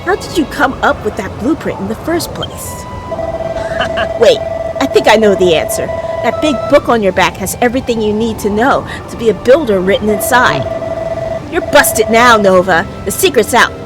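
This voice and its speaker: A woman's voice: medium pitch, lively intonation, moderate pace, over music, pitched below the performer's own voice, lightly acted. A woman voicing a bossy, nosy young girl in a video game, with a pert, teasing, demanding manner. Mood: smug teasing.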